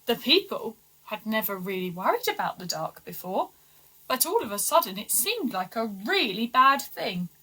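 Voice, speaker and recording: A woman reading a children's storybook aloud with expressive, deliberate, dramatic emphasis. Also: on the high side, husky, poor audio. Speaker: story reader for schoolchildren.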